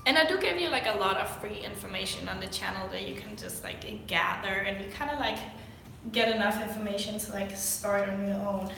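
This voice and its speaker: A young woman from Denmark, sick and clearing her throat, answering viewer questions in a rambling, chatty way with lots of fillers on a livestream. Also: medium pitch, a little fast, a bit bright. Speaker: fitness vlogger. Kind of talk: casual livestream Q&A. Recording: echoey room with faint noise.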